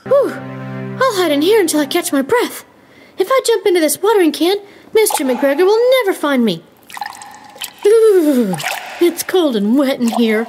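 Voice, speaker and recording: A cocky little boy rabbit, acted by a woman for a children's puppet show. The voice is high and lively, childlike and boastful with a whiny edge. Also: moderate pace, long pauses, music in the background. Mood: anxious.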